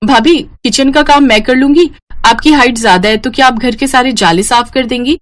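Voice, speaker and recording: A woman's voice: sits high, normal speed, close to an everyday voice. A woman voicing a sulky, mocking young sister-in-law in a family storybook tale. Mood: sly, mocking. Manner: complaining, mocking.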